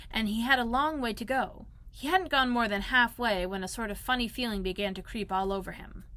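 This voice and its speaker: A woman who works as a school librarian, reading a children's storybook aloud with expressive narration. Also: medium pitch. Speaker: elementary school librarian, read-aloud host.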